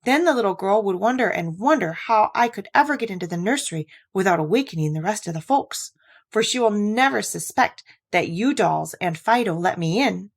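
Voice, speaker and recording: A woman reader plays a timid little storybook Easter bunny. He speaks in a quavery, gentle way, polite and earnest with everyone he addresses. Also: medium pitch, animated, brisk. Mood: pleased.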